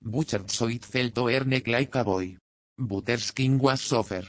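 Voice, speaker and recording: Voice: mid-range voice, normal speed, no room sound, studio quality, voice pushed down, close to an everyday voice. A narrator voicing a wealthy, boisterous Spanish colonial nobleman, effusive and self-satisfied, in an audiobook. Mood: rapturous, admiring.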